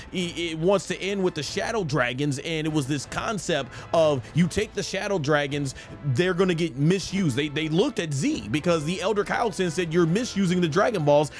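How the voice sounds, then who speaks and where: A man in his thirties hosting a gaming livestream, chatting casually and explaining his opinions at length. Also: on the high side. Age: 30s. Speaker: streamer and host of a live gaming show. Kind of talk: casual livestream chat answering viewer questions.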